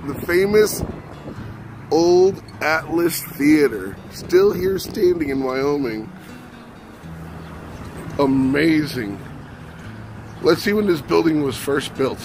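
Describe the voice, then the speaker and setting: A man's voice: a little high, takes it slowly, pauses often, background noise. A man filming a casual travel vlog outdoors, chatty and wry, who is losing his voice. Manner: chatty, wry, self-narrating. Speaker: vlogger. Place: outdoors, windy town streets. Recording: music under the voice.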